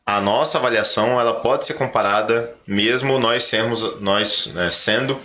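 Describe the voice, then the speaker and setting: A man's voice: mid-range voice, normal speed, pushed and bright, slight background noise. A man with a Brazilian accent hosting a podcast, explaining things in a conversational way. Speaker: podcast host.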